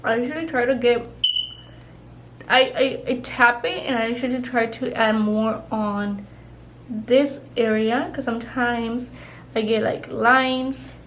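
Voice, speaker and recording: A woman's voice: a little high, steady tone, takes it slowly, a little hiss or hum, rough recording. A young woman vlogger chatting casually while doing her makeup, rambling with lots of "like" and "you know". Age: young adult. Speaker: beauty vlogger.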